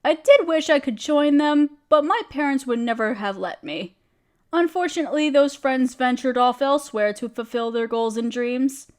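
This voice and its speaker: Woman playing a high-voiced, genteel friendly witch. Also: lively intonation, moderate pace, darkish tone. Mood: kindly, reflective. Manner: quaint, dainty phrasing with endearments. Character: friendly, genteel young female witch, chatty and kindly. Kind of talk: scripted audio roleplay speaking to the listener.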